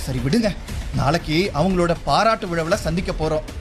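A man playing a conciliatory government scientist in a scripted drama, speaking in a measured, placating way. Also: on the high side, music in the background, lightly acted. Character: calm, conciliatory male government scientist friend.

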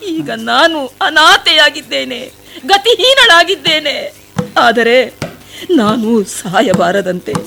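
A humble young forest woman pours out her grief to a sage, played by a woman. Her voice is high and her delivery slow and dramatic, full of tearful pleading. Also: pushed and bright, heavily acted. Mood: sorrowful. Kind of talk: devotional radio play acting.

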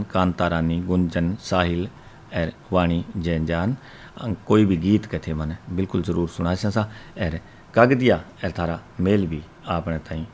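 A man's voice: low voice, slight background noise. A man with a Rajasthani accent hosting a radio request show, reeling off long lists of listener names and places. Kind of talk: reading out listener letters.

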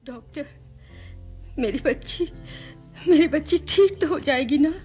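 Worried distraught mom in a radio-style drama, high voice. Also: female voice, unhurried, darkish tone, faint music behind. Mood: anxious, pleading. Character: anxious, distraught young mother fearing for her sick daughter. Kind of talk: audio drama acting.

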